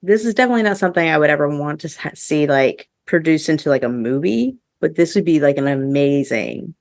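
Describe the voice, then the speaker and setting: Low-voiced woman chatting on a podcast. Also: animated, brisk, darkish tone, dry recording, studio quality. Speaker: podcast host. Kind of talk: casual review chat.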